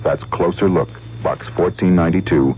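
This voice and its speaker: A man's voice: low-pitched, moderate pace. An American man reading a scripted public service announcement for radio. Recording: very muffled 1970s radio spot, hissy.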